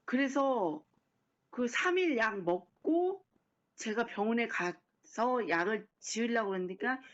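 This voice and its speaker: A middle-aged woman recalling her illness as a former patient in a casual interview, talking haltingly with many fillers. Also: a little high, animated. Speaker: recovered patient. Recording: slightly dull recording.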